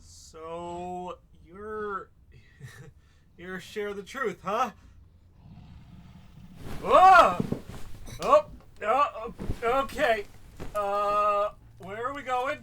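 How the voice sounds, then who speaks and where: A man's voice: sits high, extremely slow, pauses often, bright, forceful tone, a little hiss or hum, full character voice. A man playing a panicked, stammering man facing a terrifying creature in an audio drama. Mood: shaken, bewildered. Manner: stammering, gasping, exclaiming.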